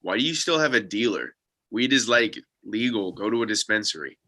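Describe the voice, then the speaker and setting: A man acts out an upbeat sidekick on a UFO hunt. His voice is bright and forward with lively, swooping intonation. He sounds earnest and encouraging, as in a comedy script read. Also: on the low side, fairly quick. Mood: casual, musing.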